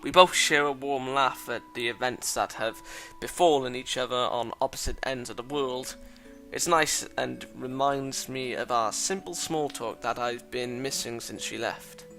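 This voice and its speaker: A man's voice: medium pitch, sharp tone. A man reading a thoughtful teenage schoolboy's lines and inner narration in a plain, reflective way, with faint music behind.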